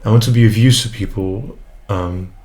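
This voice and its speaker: Man, young, from Brazil, Portuguese first language, very low voice, halting and thoughtful, home desk mic. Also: darkish tone. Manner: hesitant, many fillers and restarts, reflective. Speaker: English tutor and vlogger. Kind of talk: casual first vlog introduction. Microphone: USB desk mic, unprocessed.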